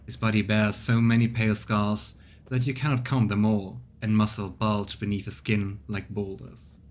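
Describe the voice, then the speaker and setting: A man's voice: on the low side, little pitch movement, normal speed. A man streaming a game, reading its text aloud as narration and adding casual comments of his own. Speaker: streamer, let's player.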